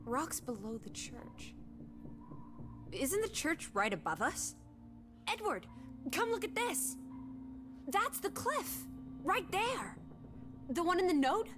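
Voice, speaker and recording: A woman's voice: extremely high pitch, very animated, takes it slowly, long pauses, over music, heavily acted. An actress playing a bold, inquisitive young woman companion in a video game drama, theatrical and bossy in manner. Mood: excited. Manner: bossy, theatrical, teasing and scolding.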